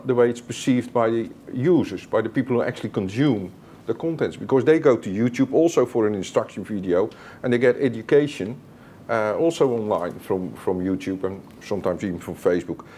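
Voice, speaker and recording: A man's voice: mid-range voice, darkish tone. A man speaking as a panelist in a conference panel discussion, explaining his points hesitantly with many fillers. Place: conference stage, indoors.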